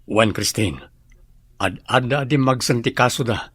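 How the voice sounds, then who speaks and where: An actor with a Filipino accent playing a courteous, well-off gentleman in a radio drama, speaking with assurance. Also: medium pitch, a little slow, breathy edge, no echo. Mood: satisfied, assured.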